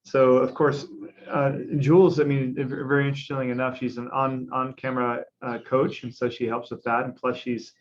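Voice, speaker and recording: A man's voice: mid-range voice, level tone, normal speed. A male host leads a panel chat and introduces guests, speaking hesitantly and filling gaps with "uh". His voice sits mid-range. The sound is slightly dull and lo-fi. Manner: hesitant, many fillers. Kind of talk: hosting a panel discussion.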